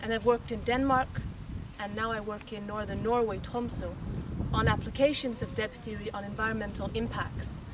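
A woman's voice: mid-range voice, fairly even intonation, normal speed. Woman instructor recorded outdoors from afar. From Texas, raised in France. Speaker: course instructor. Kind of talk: course introduction to camera. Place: outdoors in open grassy dunes. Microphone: camera mic at a distance. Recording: distant outdoor mic with wind noise.

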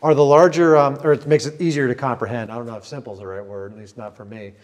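A middle-aged man with an American accent and a medium-pitched voice. He talks casually as an interviewer on a tour, feeling his way through each thought and rewording it as he goes. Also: steady tone, quick pace. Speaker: interviewer touring as visitor. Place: large indoor tower room. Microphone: clip-on mic on the chest.

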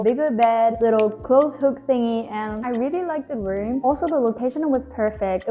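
A woman's voice: a little high, warm tone, highs cut off. A young woman in her twenties, a native Japanese speaker with a Japanese accent, chatting frankly to camera in slightly broken English as a vlogger, recorded on a lapel mic in an apartment kitchen. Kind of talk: casual talk to camera.

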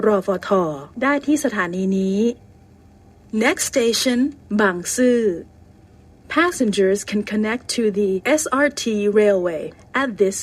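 Slow, measured woman station announcer. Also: medium pitch. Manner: slow, evenly spaced announcements in two languages. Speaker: train announcer. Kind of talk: recorded transit announcement.

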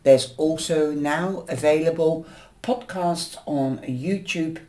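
A woman giving a weekly tarot horoscope reading in a gentle, chatty, rambling way, her voice a little nasal from a cold. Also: low voice, takes it slowly. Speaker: tarot reader. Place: indoors at a table, camera over the cards.

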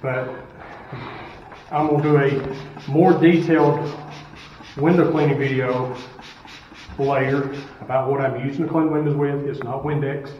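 Middle-aged custodian in an echoey stairwell. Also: male voice, medium pitch, fairly even intonation, a little slow, long pauses, a little hiss or hum. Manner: plain-spoken, explains step by step, asks rhetorical questions. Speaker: school custodian. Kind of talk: casual how-to instruction. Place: school stairwell, indoors, hard walls. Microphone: camera some distance off. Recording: echoey stairwell, distant and dull.

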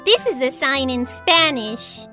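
A woman's voice: sits high, lively intonation, slow pace, pushed and bright, soft background music, heavily acted. A woman voicing a sensible, confident little girl in a children's cartoon, explaining things matter-of-factly.

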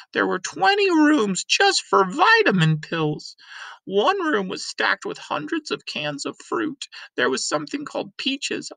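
A man's voice: very high-pitched, gravelly, bright, forceful tone, no echo, voice pushed up, heavily acted, poor audio. A man voicing a forgetful old grandmother who rambles wistfully in a graphic novel read-aloud. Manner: wistful reminiscing.

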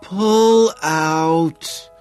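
A man's voice: medium pitch, big pitch swings, unhurried, slightly rough, faint music behind, pitched above the performer's own voice. A man voicing an irritable, exasperated young woman with gasping, shrieking indignation in an audiobook.

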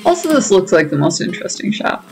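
A woman's voice: on the low side, sing-song, fast talker, vocal fry, a little mellow. A woman hosting a gaming video with casual commentary as she plays. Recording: faint game music behind. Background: game music and dialogue.

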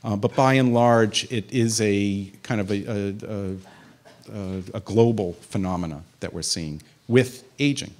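A man's voice: on the low side, unhurried, darkish tone. Man lecturing with a handheld mic. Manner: conversational, many fillers and restarts. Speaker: physician giving a public talk. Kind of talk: public lecture with audience questions. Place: community center meeting room. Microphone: handheld microphone.

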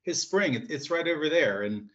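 A man's voice: a little high, lively intonation, normal speed, a bit bright. A man from Tennessee asking a question on a video call with his camera off, hesitant, with frequent uhs and ums. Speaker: audience member asking a question. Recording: dull lo-fi call audio.

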